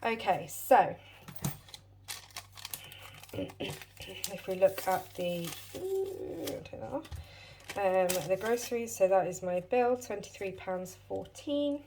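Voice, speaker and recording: A woman's voice: mid-range voice, animated, takes it slowly, long pauses, dark tone, slight background noise. A British woman hosting a casual budgeting vlog, a bit wheezy and short of breath as she gets over a chest infection, chatty and apologetic with many ums. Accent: British English. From United Kingdom. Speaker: budgeting channel host.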